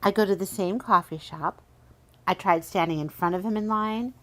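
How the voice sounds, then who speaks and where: A woman's voice: medium pitch, moderate pace, close to an everyday voice. A woman playing a vain, sulky seductive spirit in a radio horror drama, lamenting with a pout. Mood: frustrated.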